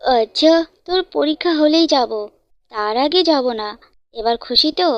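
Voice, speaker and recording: A woman's voice: high voice, animated, moderate pace, no room sound, dull audio. A woman voicing a stern, controlling father bird in a cartoon, with an authoritative manner. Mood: conceding. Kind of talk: cartoon fairytale dialogue.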